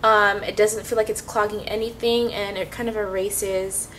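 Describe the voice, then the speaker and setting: A woman's voice: mid-range voice, flat delivery, moderate pace, fairly bright tone. A woman vlogger chatting casually through her makeup routine, sniffly with a cold and full of ums. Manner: chatty, many ums and restarts. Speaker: beauty vlogger. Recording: slightly distant mic, faint hiss.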